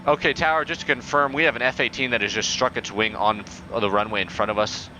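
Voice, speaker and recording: A young man playing a pilot in a flight game, using formal radio phrasing in a deadpan, increasingly exasperated way over a headset. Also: mid-range voice, quick pace, a little hiss or hum, rough recording. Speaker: pilot player in a flight sim. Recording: game audio in background.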